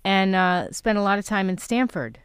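A woman's voice: medium pitch, animated, normal speed, a little hiss or hum. A woman who grew up in New York City, hosting a radio interview and asking questions in a chatty way. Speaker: radio host.

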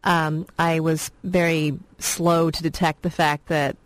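A woman's voice: low voice, little pitch movement, slow, no room sound. Reflective woman director, dry studio voice. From Vietnam. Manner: reflective, rambling, many fillers. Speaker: film director guest. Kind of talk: radio interview, personal storytelling.

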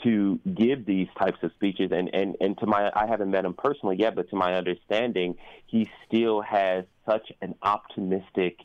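Deep-voiced man in dull, lo-fi sound. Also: fairly even intonation, no room sound. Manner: reflective, halting, with repeated phrases and pauses. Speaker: guest, performer, teacher and activist. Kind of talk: earnest interview conversation about community events.

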